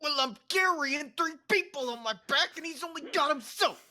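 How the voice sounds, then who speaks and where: A woman voicing a gentle, simple, anxious male giant henchman in a live script read. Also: high voice, moderate pace. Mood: defensive.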